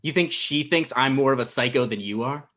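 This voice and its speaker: A man's voice: mid-range voice, brisk, pushed and bright, close to an everyday voice. A man in his thirties acting an irritable, sarcastic, paranoid character in a table read over a video call. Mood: irritated.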